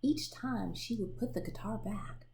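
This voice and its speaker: A woman reading a children's picture book aloud in an expressive storytelling manner, pausing to show the pictures. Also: medium pitch, creaky, a little hiss or hum. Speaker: storybook reader.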